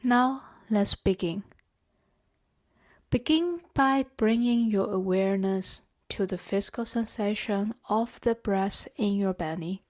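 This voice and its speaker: A woman leading a guided body scan meditation in a gentle, measured, instructional way. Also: mid-range voice. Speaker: meditation guide and therapeutic coach.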